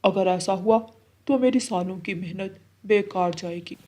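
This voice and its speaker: Woman doing an earnest, husky male cartoon bird. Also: mid-range voice, measured pace, warm tone, close to an everyday voice. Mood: stern, worried. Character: earnest adult male birds of a jungle village.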